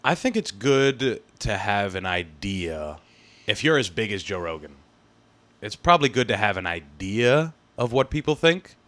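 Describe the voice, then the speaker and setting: A man's voice: lowish voice, very animated, a little slow, fairly bright tone. A young adult man hosting a podcast, chatting in a laid-back way and laughing easily. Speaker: podcast host. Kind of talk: casual chat.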